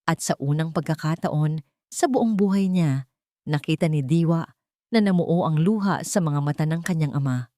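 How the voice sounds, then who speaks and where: Low-voiced woman narrating a drama. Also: normal speed, mellow, dry recording, studio quality. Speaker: story narrator. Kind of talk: scripted dramatic story narration.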